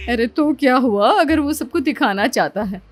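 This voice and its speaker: A woman's voice: high voice, expressive, normal speed, soft background music. A woman voicing a gossipy village neighbour in a cartoon story, gushing and teasing. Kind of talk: Hindi cartoon story voice acting.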